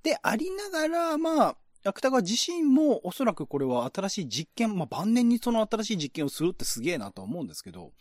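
A man's voice: sits high, wildly swooping pitch, fairly quick, hardly any pauses, darkish tone, no echo. A man hosting a podcast, explaining art and literature in an enthusiastic, informal, conversational way. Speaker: podcast host. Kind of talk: casual discussion of literature and art.

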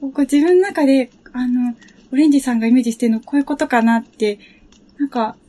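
Woman w a highish voice chatting on a panel, kinda tentative, stop-start phrases. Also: dark tone. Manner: tentative, halting, groping for words. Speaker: panel member in discussion. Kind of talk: casual chat.

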